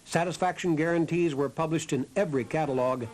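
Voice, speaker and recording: A man's voice: fairly high pitch, a little fast. A man reading a scripted historical documentary narration. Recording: narration over background music. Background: music.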